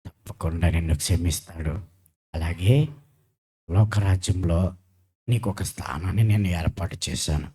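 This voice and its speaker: Man playing a deep, husky, forgiving Jesus. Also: moderate pace, pauses often, mellow. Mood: solemn, forgiving. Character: Jesus, forgiving, fatherly, gentle divine saviour. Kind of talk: children's church puppet show.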